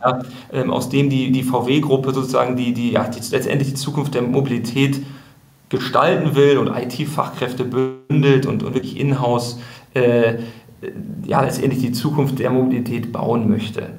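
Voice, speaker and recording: Gravelly guy explaining stuff on a podcast, lots of restarts and uh's, room echo. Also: mid-range voice, flat delivery, normal speed. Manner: thoughtful, many fillers and restarts. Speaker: co-founder, interview guest. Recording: roomy echo.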